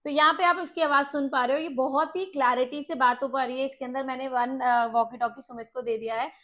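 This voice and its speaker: A woman's voice: high-pitched, steady tone, fairly quick, fairly bright tone. A woman hosting a casual product demo, explaining things and mixing in English words. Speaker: product review host.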